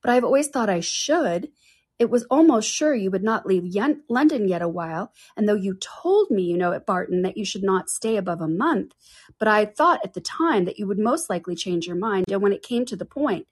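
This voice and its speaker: A woman's voice: mid-range voice, lively intonation, brisk, husky. A woman reading aloud, voicing a gushing, sly young woman in a period novel. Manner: effusive, insistent.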